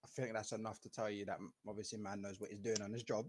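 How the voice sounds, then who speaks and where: A man's voice: on the low side, flat delivery, fast, a little mellow, slight background noise. A man co-hosting a podcast in casual, joking banter. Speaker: podcast co-host.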